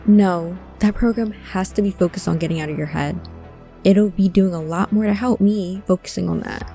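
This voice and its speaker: Actress as earnest cyberpunk hacker woman, soft-toned. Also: fairly low pitch, steady tone, moderate pace, faint music behind, lightly acted. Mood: pleading, determined. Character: distressed, determined hacker woman seeking her lost friend. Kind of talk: cyberpunk audio drama acting.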